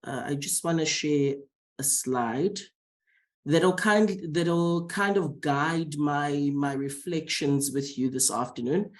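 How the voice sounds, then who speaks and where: Scientist guy with a cold, formal and measured, talking into a video call. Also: fairly high pitch. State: has a cold. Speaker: scientist, panelist. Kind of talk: prepared talk.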